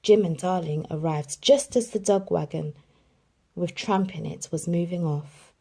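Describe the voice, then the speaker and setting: A woman reading a children's storybook aloud in an expressive storytelling manner. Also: fairly low pitch, unhurried, husky. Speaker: children's book reader.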